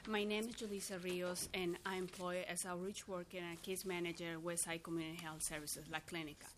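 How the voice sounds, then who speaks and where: A woman's voice: fairly low pitch, little pitch movement, fairly quick, a little hiss or hum. A woman from Peru speaks English with a Peruvian Spanish accent, her phrasing plainly non-native. She gives earnest testimony before a committee. Faint room ambience sits behind her voice. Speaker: outreach worker testifying.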